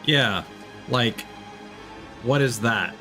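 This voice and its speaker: A man's voice: mid-range voice, very slow, over music. A man doing casual live gameplay commentary as a streamer, musing and thinking aloud. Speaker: game streamer.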